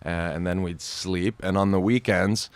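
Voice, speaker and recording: A man who acts, a guest on a talk show telling animated stories about his work in casual chat. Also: deep voice, dry recording. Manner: animated storyteller, quick asides. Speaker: actor, interview guest.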